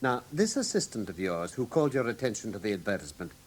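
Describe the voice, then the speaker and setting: An actor playing a brilliant, commanding Victorian gentleman detective with an English accent, precise and theatrical in a classic radio drama. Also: fairly low pitch, sing-song, fast, a little hiss or hum. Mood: probing, brisk.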